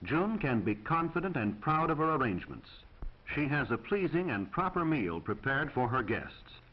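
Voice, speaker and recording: A man's voice: medium pitch, big pitch swings, moderate pace, dull audio. An American man reading scripted 1940s instructional film narration in a formal, precise, instructive manner on an old film soundtrack.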